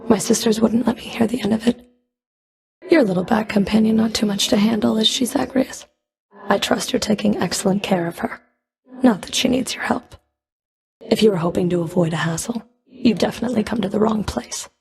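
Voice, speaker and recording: A woman's voice: mid-range voice, a little fast, creaky, darkish tone, close to an everyday voice. A woman playing a stern, scornful underworld fury in a video game, with a cold, sardonic, taunting delivery. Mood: weary, sardonic. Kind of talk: scripted video game character dialogue.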